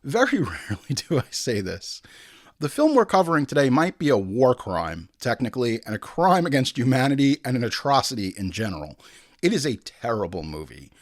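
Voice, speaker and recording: A man's voice: medium pitch, very animated, brisk, no room sound, clean studio sound. A middle-aged American man from Long Island hosting a film podcast in a casual, joking, hyperbolic monologue with some swearing. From Long Island, New York, United States.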